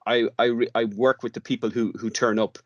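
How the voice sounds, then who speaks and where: A man's voice: medium pitch, little pitch movement, fairly quick, no room sound, highs cut off. An Irish man speaking as an interview guest in a conversational, hesitant way, often repeating words as he goes. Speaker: guest, radio drama workshop artist.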